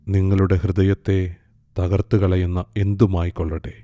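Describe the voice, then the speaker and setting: A man guiding a meditation in a calm, soft, hushed way. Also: low voice, normal speed, dry recording. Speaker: meditation guide. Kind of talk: slow guided meditation. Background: soft music.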